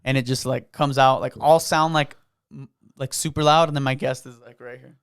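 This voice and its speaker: Loud, animated podcast host with a New Mexico accent. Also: male voice, mid-range voice, a little fast, vocal fry, smooth, no echo, studio quality. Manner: loud, animated, says bro and dude a lot. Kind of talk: casual chat. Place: small podcast studio. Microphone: studio podcast mic.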